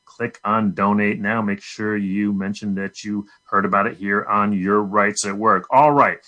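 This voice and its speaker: A man with a lowish voice and a bright, forceful edge hosts a live radio talk show. He makes his pledge-drive appeal emphatically, speaking straight to the listeners. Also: steady tone, measured pace, lo-fi sound.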